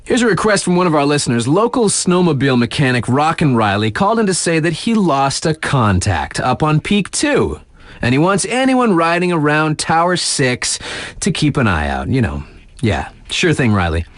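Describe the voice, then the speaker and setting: A man's voice: on the high side, sing-song, normal speed, no echo, clean studio sound. A man playing a laid-back, sardonic young radio DJ in a video game, reading local news in a wry, slangy, conversational way. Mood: upbeat, sardonic.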